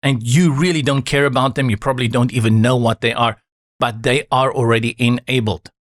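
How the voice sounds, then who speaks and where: A man whose first language is Afrikaans talks viewers through each click of a software setup. His voice sits at an ordinary middle pitch. The recording is close and dry, with no room sound. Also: a little fast, no echo. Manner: calm, instructive, reassuring asides. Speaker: software tutorial presenter. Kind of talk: screen-recorded step-by-step tutorial.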